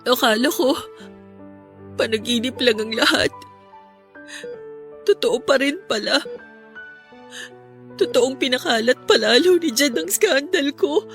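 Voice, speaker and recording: A woman's voice: sits high, unhurried, long pauses. An actress with a Filipino accent playing a distraught, remorseful young woman in a radio drama, pleading over music. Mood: dazed, regretful. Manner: pleading, tearful delivery.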